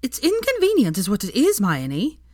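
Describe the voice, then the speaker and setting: Woman putting on a brash, high chirpy young woman. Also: wildly swooping pitch, moderate pace, darkish tone, no echo, clean studio sound. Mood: huffy. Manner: breezy, huffy, blunt.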